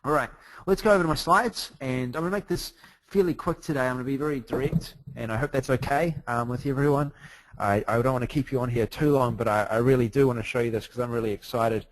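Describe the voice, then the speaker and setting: A man's voice: mid-range voice, quick pace, no echo, rough recording. A man from New Zealand with a New Zealand accent, presenting a live webinar in an upbeat, chatty way with plenty of fillers, speaking from a hotel room over a computer mic. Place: hotel room late at night.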